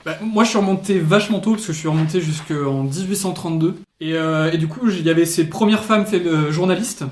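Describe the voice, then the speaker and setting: A man's voice: a little high, fairly quick. A man co-hosting a casual discussion show, talking through his research with many fillers and restarts. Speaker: podcast co-host. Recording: echoey room.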